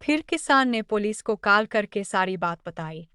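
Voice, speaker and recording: A woman's voice: medium pitch, expressive, unhurried, pushed and bright, clean tone, dry recording, clean studio sound. A woman reading scripted narration for a moral story.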